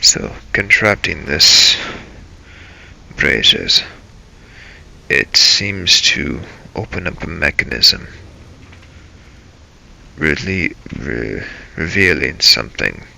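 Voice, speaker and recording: A man narrating a game role-play as a first-person story, in halting, broken phrases. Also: rumbling low, lively intonation, creaky, sharp tone.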